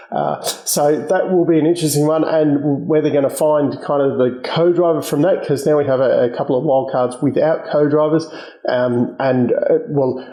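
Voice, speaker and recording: A slightly hoarse man hosting a motorsport channel, talking casually and hesitantly with many ums and restarts. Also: fairly high pitch, mellow, reverberant, poor audio. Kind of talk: casual solo commentary.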